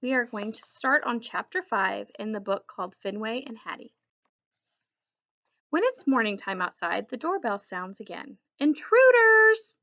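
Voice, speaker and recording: A woman reading a children's story aloud in an expressive, deliberate storytelling manner. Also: medium pitch, muffled recording. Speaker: children's book reader.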